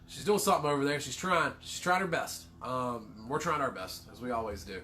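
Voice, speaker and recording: A man's voice: medium pitch, brisk, pushed and bright, slight background noise. A man with a cold, casually co-hosting a livestream, rambling, swearing and cracking self-deprecating jokes. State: congested with a cold. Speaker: livestream co-host.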